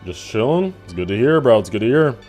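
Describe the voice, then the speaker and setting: A man in his twenties chatting casually with his live-stream chat, laughing and swearing in quick asides. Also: mid-range voice, sing-song, darkish tone, soft background music. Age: 20s.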